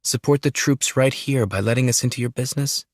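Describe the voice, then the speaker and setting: A wry female army investigator with a teasing edge, read by a man in a low voice close to his own. She ribs her partner dryly. The recording is dry and close. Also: moderate pace, dry recording, close to an everyday voice. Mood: coaxing.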